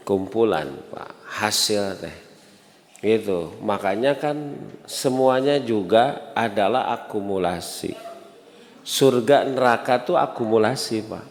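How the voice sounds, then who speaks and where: A man with a Sundanese accent preaching to a congregation in a didactic, conversational way, repeating key words and asking rhetorical questions. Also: medium pitch. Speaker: Islamic preacher. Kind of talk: sermon to a congregation, conversational teaching. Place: mosque hall. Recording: echoey hall with long reverb.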